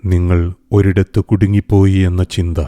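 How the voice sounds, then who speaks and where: A man guiding a scripted meditation in a calm, soothing, gentle manner, pausing deliberately between phrases. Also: sits low, no echo. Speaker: meditation guide. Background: music.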